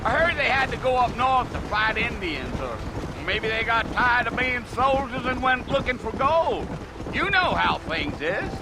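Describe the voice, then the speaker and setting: High-pitched chatty cowboy guide. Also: male voice, very animated, measured pace, noisy background, exaggerated voice. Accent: American Western. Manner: talkative, folksy drawl. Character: chatty, folksy cowboy guide in the Old West. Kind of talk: video game dialogue.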